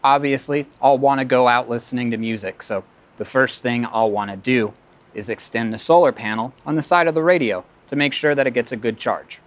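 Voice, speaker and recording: A man's voice: medium pitch, a little fast. A man giving a casual, plain-spoken product review, explaining features as he demonstrates them.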